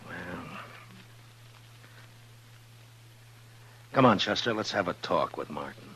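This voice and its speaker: An American man acting a stern, world-weary Old West lawman in a 1950s radio western, speaking in terse, measured, authoritative lines. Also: deep voice, animated, vocal fry, sharp tone, slightly rough, exaggerated voice. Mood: weary, matter-of-fact. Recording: noisy vintage radio recording with hum.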